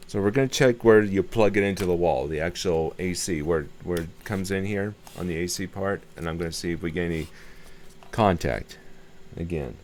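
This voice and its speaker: Deep voiced guy muttering thru a repair demo, kinda unsure, repeats himself, some background noise. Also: moderate pace, darkish tone. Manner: tentative, plain-spoken, repeats himself. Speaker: hobbyist video host showing a radio repair. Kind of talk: casual hands-on demonstration, thinking aloud.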